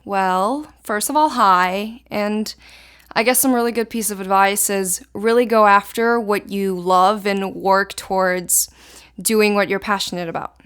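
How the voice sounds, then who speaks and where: Female high schooler, teens, native Hebrew speaker, smooth clear mid-range voice, informal interview answers. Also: steady tone, unhurried, a bit bright. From Israel.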